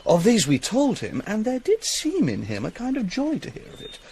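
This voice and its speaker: An obliging young gentleman of the court, British accent. His voice is fairly high and extremely animated in pitch, with a hesitant, deferential manner, as in a stage tragedy with faint ambience behind. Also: moderate pace, a little hiss or hum, exaggerated voice. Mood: eager, deferential. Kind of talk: theatrical Shakespearean radio drama.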